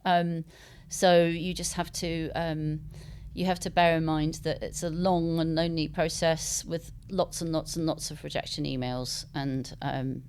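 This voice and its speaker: A woman being interviewed as a writer, speaking thoughtfully and haltingly in unscripted conversation as she searches for words. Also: sits low, flat delivery, a little mellow, slight background noise. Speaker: interviewed novelist.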